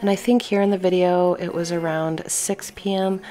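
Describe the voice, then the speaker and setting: A woman in her thirties giving a relaxed, matter-of-fact voice-over for a home vlog. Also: on the low side, normal speed, faint music behind. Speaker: home cleaning vlogger.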